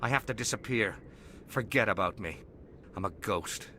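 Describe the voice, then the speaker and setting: An actor playing a cowardly, slippery underworld crime boss in a video game, whiny, defensive and pleading. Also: male voice, fairly low pitch, moderate pace, sharp tone, a little hiss or hum.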